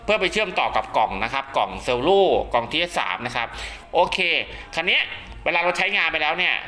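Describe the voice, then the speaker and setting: Bright-voiced man giving a casual tutorial. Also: a little high, sing-song, fast talker, faint music behind. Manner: repetitive checking with listeners. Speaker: radio hobbyist presenter. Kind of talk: casual spoken tutorial demonstration.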